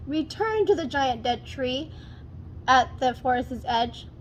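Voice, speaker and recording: A woman's voice: high voice, expressive, slow, slight background noise. A woman voicing a scheming, jealous stepmother in a storybook, complaining and demanding with melodrama. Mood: feigning illness.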